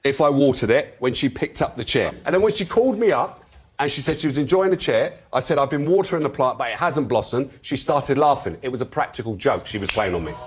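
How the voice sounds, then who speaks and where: Man, average pitch, panel show guest, storytelling, noisy clicky studio audio. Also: lively intonation, fast talker. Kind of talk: telling an anecdote under questioning.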